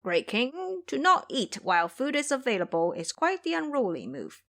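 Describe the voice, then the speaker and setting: A woman acts out a male tiger demon officer from a fantasy audio story. He is eager and servile, addressing his superior formally, with a lively, swinging pitch. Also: moderate pace, heavily acted. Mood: eager, boastful. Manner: deferential, formal report to a superior.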